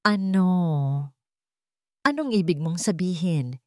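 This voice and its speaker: A woman's voice: on the low side, lively intonation, slow pace, mellow, dry recording, clean studio sound. A woman with a Filipino accent voicing a young, self-sacrificing nurse in a dramatic romance story. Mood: confused.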